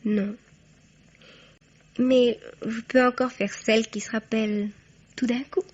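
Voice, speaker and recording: A young woman blackmailer in a radio crime play. Her voice is fairly high, chatty and full of sly, mock-innocent taunts. The sound is dull and band-limited. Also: fairly even intonation, takes it slowly. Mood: coy, greedy. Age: young adult. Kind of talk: French radio crime drama acting.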